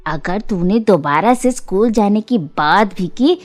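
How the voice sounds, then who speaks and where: A woman's voice: medium pitch, expressive, unhurried, faint music behind, pitched below the performer's own voice, natural voice. A woman voicing a harsh, scornful middle-aged aunt in a storybook cartoon, scolding and ordering people about. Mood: scornful, threatening. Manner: scolding, sneering, commanding.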